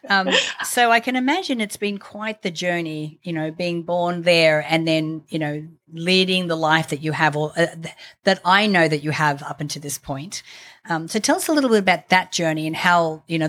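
A woman's voice: low voice, moderate pace, a bit bright. A woman hosting a podcast, chatty and friendly, laughing often as she interviews a guest. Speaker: podcast host.

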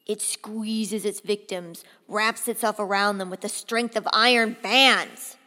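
Forceful, emphatic male general voiced by a woman, graphic novel read-aloud, reverberant hall sound. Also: mid-range voice, fairly even intonation, a little slow. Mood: boastful, intense. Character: forceful, excitable male army general obsessed with snakes.

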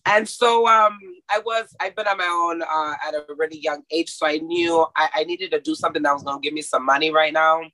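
A woman's voice: low voice, steady tone, fairly quick, hardly any pauses, sharp tone, low-quality recording. A woman who grew up in Mexico and went to school in Texas, a massage therapist chatting casually in an interview, laughing often and restarting sentences.

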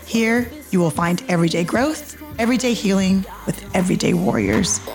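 A woman's voice: medium pitch, normal speed, darkish tone, faint music behind. A woman born in Puerto Rico hosting a solo podcast, chatting in a rambling way with many ums and restarts. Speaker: podcast host. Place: home in Georgia, USA.

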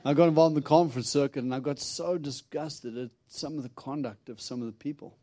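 A man's voice: a little high, fairly even intonation, a little fast, warm tone, no echo. A middle-aged man from South Africa with a Texan accent, preaching a chatty, humorous sermon and acting out his talks with God. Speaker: visiting preacher. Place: church.

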